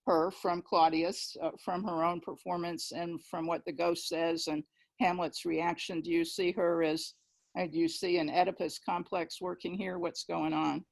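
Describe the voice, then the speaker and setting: A woman talking in a measured, emphatic, explanatory way during a literary discussion on a video call. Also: lowish voice, flat delivery, vocal fry, rough recording. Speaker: discussion participant, questioner.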